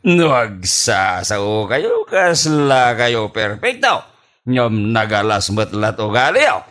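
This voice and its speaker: A man's voice: fairly low pitch, big pitch swings, takes it slowly, fairly bright tone, exaggerated voice. A man with an Ilocano accent playing a boastful, childish, stubborn husband in a radio comedy drama, with cocky, exaggerated delivery. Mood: resentful, mocking. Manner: cocky, exaggerated, swooping delivery with mocking laughs.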